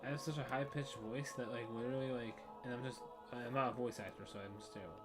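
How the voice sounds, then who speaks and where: A man's voice: medium pitch, steady tone, fast talker. A man chatting casually as he plays a video game, laughing often and rambling in asides. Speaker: let's play streamer. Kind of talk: casual gameplay commentary. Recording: game music under voice. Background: game music.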